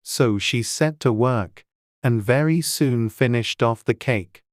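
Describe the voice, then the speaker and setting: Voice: medium pitch, takes it slowly, no echo, clean studio sound. A narrator reading a children's storybook as a scripted audiobook.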